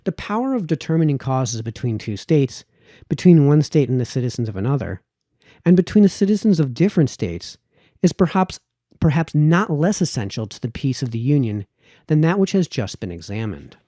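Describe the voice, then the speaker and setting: A man narrates a formal text in the manner of an amateur audiobook reader. His voice is mellow and mid-pitched, with very animated intonation and measured phrasing that drops at the ends of sentences. The sound is dry and close. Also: no echo. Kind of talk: read-aloud of a formal political essay, audiobook narration.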